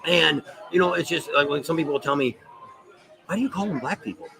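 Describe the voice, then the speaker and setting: A man's voice: a little high, brisk, gravelly, sharp tone. A man hosting a live show, rambling in casual, opinionated chat and repeating himself as he goes. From United States, near Saint Louis. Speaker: show host.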